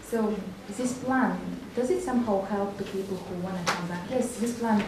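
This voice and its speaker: A woman's voice: mid-range voice, moderate pace. A woman, moderating a panel and asking direct, probing questions. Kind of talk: panel discussion. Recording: echoey hall, mic far off, faint noise.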